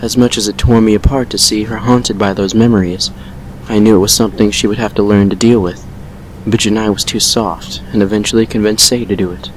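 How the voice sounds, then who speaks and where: A man playing a brooding vampire who recounts a painful past with measured regret in an audio drama. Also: fairly low pitch, fairly even intonation, a little hiss or hum, natural voice, rough recording. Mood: subdued, regretful. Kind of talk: fan fiction audio drama monologue.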